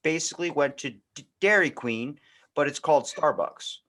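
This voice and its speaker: A man's voice: fairly high pitch, expressive, normal speed, fairly bright tone. An American man hosting a podcast in casual conversation, telling rambling stories and acting out bits of dialogue as he goes. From United States. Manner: rambling storyteller, acting out quoted exchanges. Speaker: podcast host.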